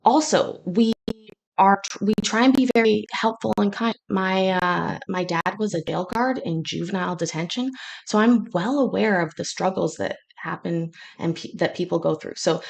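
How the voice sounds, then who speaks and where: A woman's voice: medium pitch, moderate pace, vocal fry, rough recording. A woman born in Scotland hosting a casual livestream from her kitchen, chatty and joking with a sarcastic streak. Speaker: livestream host. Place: home kitchen.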